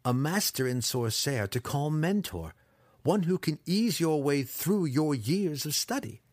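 A man voicing a sly, cruel young male dark elf apprentice in an audiobook, taunting as he schemes. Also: on the high side, very animated, a little slow, dry recording, clean studio sound, voice pushed up. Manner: taunting, matter-of-fact scheming. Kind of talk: audiobook character acting.

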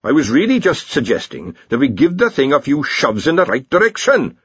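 A man's voice: fairly high pitch, wildly swooping pitch, brisk, husky, dry recording, dull audio, pitched above the performer's own voice, exaggerated voice. A man voicing a fussy older wizard academic in an audiobook, musing ponderously and hopefully. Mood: mild, suggesting.

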